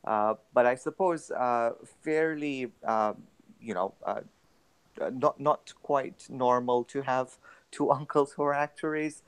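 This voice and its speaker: A young man from Tanzania, a guest on a radio interview, explaining his work in a measured way with many fillers. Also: mid-range voice, smooth, low-quality recording. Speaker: guest, actuary.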